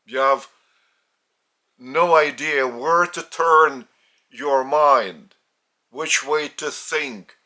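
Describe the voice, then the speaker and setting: A man with a Lithuanian accent, a spiritual teacher speaking in short halting phrases with restarts. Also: on the high side, bright, forceful tone.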